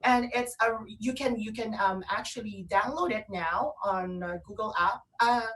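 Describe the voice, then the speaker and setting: A woman with a Filipino accent co-hosting an online radio show, chatting casually and promotionally in an excitable, gushing way. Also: mid-range voice, moderate pace, distant mic, poor audio. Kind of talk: casual promotional chat between co-hosts.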